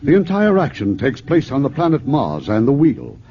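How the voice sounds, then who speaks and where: Lively 1950s radio host. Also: male voice, mid-range voice, normal speed, a little hiss or hum. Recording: dull old radio broadcast.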